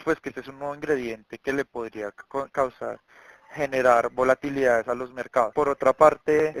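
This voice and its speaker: A man with a medium-pitched voice gives a market briefing on a conference call. His delivery is halting, full of verbal tics and repeated words. The sound is dry, with very little room echo. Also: fairly bright tone. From Colombia. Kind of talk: semi-prepared market briefing.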